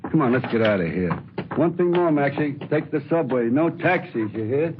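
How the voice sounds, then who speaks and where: A man's voice: a little high, animated, normal speed, narrow, tinny audio, noisy background, natural voice. Man playing bossy gangster, thin old radio sound. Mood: menacing, impatient. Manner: rough, commanding, slangy. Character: hard, suspicious, bossy male gangster pressing his accomplice. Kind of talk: 1940s radio crime drama acting.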